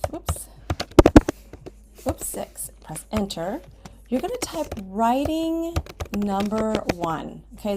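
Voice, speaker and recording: A woman's voice: medium pitch, mellow, slight background noise. American woman teacher giving step-by-step instructions. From Washington State, United States. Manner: simple instructional phrasing with checking okays. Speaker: ESOL writing teacher. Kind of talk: step-by-step screen tutorial for students. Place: indoors at a computer, screen recording with webcam.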